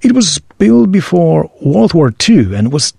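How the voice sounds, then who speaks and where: A man's voice: on the high side, sing-song, a little slow, creaky, a little mellow, husky, no echo, clean studio sound, heavily acted. A man playing a knowledgeable, obliging local tour guide in a scripted audio drama, speaking in a formal, presentational way. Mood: informative, obliging.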